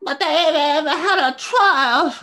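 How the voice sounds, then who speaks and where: Woman putting on timid mushroom creature, spineless, pleading, drawn-out pace, bright tone, amateur table read. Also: sits high, full character voice. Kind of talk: amateur script read-through.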